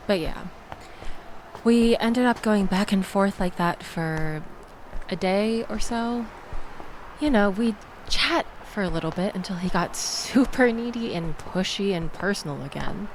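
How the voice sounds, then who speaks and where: Slow-talking, sardonic young woman, played by an actress. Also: mid-range voice, lively intonation, a little hiss or hum, rough recording. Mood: resigned, wry. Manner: wry, exasperated, wordy, theatrical emphasis with sighs and groans. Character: sardonic young woman venting about a bad date. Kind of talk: intimate audio roleplay, conversational monologue.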